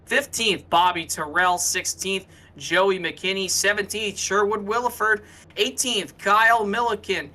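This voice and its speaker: A man's voice: sits high, steady tone, measured pace, sharp tone. A man giving live co-commentary and analysis on a sim race in a casual, conversational way.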